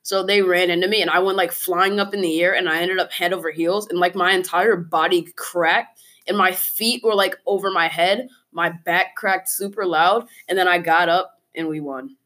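A woman's voice: lowish voice, fairly even intonation, moderate pace, a bit bright. A young woman co-hosting a podcast, telling a casual story in a rambling, repetitive way. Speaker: podcast co-host.

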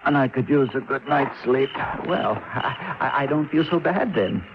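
A man's voice: medium pitch, a little slow, natural voice. An actor in a 1950s radio drama playing a mild, apologetic male driver who speaks hesitantly and politely. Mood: relieved, polite. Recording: muffled, noisy old radio recording.

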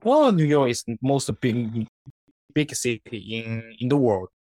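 A young man from China with Chinese as his first language, answering interview questions haltingly, often restarting words. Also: medium pitch, very animated, darkish tone, no room sound. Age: 20s. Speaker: guest.

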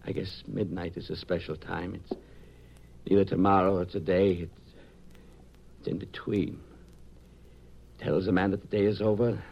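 An intense, brooding man in an old radio drama. His husky, lowish voice moves haltingly and theatrically, on a muffled vintage recording. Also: flat delivery. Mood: haunted, musing. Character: shaken, time-obsessed doomed married man, brooding and intense. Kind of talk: 1950s radio drama acting.

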